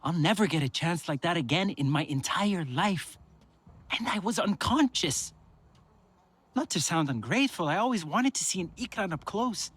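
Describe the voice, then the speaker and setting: A soft, husky, rueful researcher. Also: male voice, high-pitched, animated, normal speed, heavily acted. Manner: hesitant, self-deprecating. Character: gentle male former wildlife researcher, rueful and soft-spoken.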